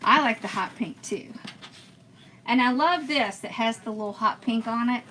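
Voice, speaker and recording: Bright-voiced chatty woman crafting live. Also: a little high, moderate pace, slight background noise. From born in Pennsylvania, raised in Georgia. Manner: chatty, answers viewer comments, laughs often. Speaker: host of a craft livestream. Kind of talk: casual live-stream chat while crafting.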